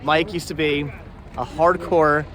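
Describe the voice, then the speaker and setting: A man's voice: a little high, level tone, unhurried, fairly bright tone. A chatty, upbeat man hosting a casual vlog, joking as he walks around an outdoor market. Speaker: vlog host. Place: outdoor flea market on a cold day. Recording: faint outdoor background noise.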